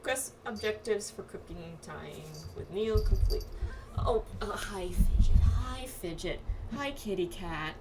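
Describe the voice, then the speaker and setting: A woman streaming a game with casual, animated, playful commentary among friends. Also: mid-range voice, takes it slowly. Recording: faint background noise.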